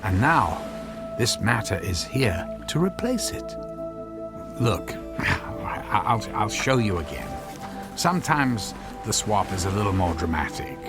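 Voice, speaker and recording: An actor playing an earnest, anxious physicist husband in a radio drama, explaining his invention in halting, stammering phrases. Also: on the low side, sing-song, creaky, loud music behind the voice, full character voice. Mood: earnest, explanatory.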